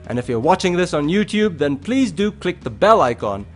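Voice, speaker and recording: A man presenting a sports highlights show in a scripted voice-over, with an emphatic, measured delivery. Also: very animated. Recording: music under the voice. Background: background music.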